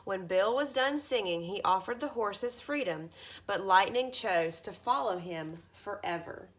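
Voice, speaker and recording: A woman in her thirties reading a children's storybook aloud like a teacher, with expressive emphasis at the starts of phrases. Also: mid-range voice, measured pace. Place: carpeted living room at home, indoors.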